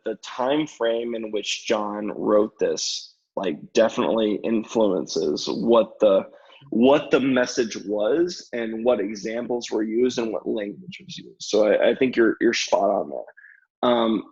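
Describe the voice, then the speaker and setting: Gravelly-voiced man in casual discussion, dull audio. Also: fairly low pitch, slow pace, darkish tone. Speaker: podcast guest.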